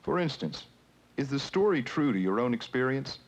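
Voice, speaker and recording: A man narrating an educational film in a measured, instructional manner, with questions put to the viewer. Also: medium pitch, big pitch swings. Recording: muffled 1970s educational film soundtrack with music.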